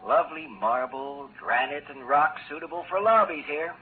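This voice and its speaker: An actor playing a carefree, absent-minded young scientist radioing from the moon, rambling in a singsong way, in 1950s radio drama style. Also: male voice, fairly high pitch, animated, normal speed, lightly acted. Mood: playful. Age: young adult. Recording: tinny, band-limited, hissy 1950s radio recording.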